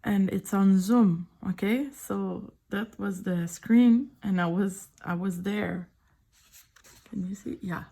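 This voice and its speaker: A middle-aged woman with a French accent, speaking French as her first language, chats softly, often in a whisper, while showing her sketchbook drawings at home. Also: mid-range voice, slow, gravelly. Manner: soft-spoken, often whispering. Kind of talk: casual chat.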